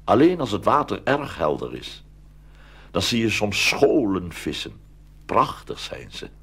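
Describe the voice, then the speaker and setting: A man telling a fairy tale as a scripted narrator, with a husky, creaky voice. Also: on the low side, wildly swooping pitch, measured pace, a bit bright. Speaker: storyteller.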